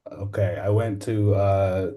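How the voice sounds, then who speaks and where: A man's voice: sits low, flat delivery, slow, mellow. A man co-hosting a podcast in casual, conversational chat. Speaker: podcast co-host.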